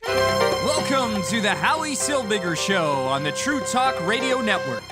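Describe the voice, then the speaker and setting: A station announcer giving a brisk, pre-recorded promotional read for a talk radio show. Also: mid-range voice, big pitch swings. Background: loud bagpipe music.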